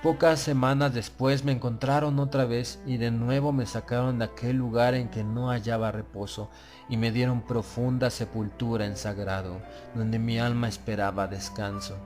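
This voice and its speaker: A man narrating a gothic horror tale in the first person, with a solemn, measured literary delivery. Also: mid-range voice, little pitch movement, darkish tone. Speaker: audiobook narrator. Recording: music bed under the voice. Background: prominent music.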